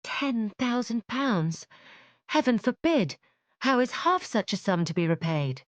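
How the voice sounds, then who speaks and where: A woman's voice: lowish voice, big pitch swings, normal speed, a bit bright, no echo, voice pushed up. A woman voicing an anxious, urgent young woman. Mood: alarmed. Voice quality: urgent, pleading.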